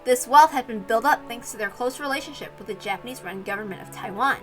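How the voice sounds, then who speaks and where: A woman's voice: on the high side, fast talker, soft background music. A woman reading a visual novel aloud as narrator, breaking into casual, chatty asides.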